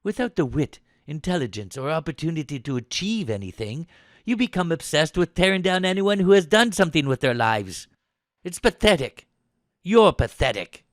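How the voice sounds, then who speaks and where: An actor playing a posh, haughty young British soldier in an audio drama, with an upper-class English accent and a clipped, sarcastic way of talking. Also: male voice, on the high side, very animated, brisk, few pauses, no echo, studio quality. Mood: indignant, scornful.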